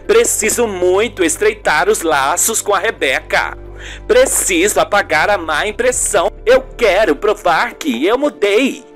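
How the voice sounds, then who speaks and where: Older mother, acted by a man, high swooping voice, needy, melodramatic, radio soap. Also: expressive, unhurried, a bit bright, soft background music. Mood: wounded, nagging. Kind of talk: amateur radio soap acting.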